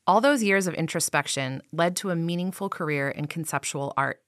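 A woman reporter narrating a feature story in an even, deliberate way. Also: on the low side, dry recording, studio quality. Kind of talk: scripted narration.

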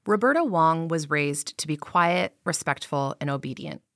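A woman reporter narrating a feature story in an even, deliberate way. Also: low-pitched, dry recording, studio quality. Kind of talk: scripted narration.

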